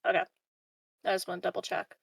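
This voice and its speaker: A woman's voice: on the low side, completely flat delivery, fast, creaky, husky, no room sound, recorded from a distance. A woman chatting casually as a player in a tabletop role-playing game.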